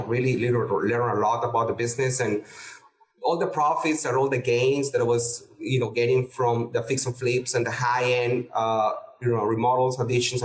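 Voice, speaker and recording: A middle-aged man answers interview questions casually, stumbling through restarts and fillers. His voice sits at a medium pitch. The recording has a roomy, echoey sound. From Peru. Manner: halting, many restarts and fillers. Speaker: guest real estate investor.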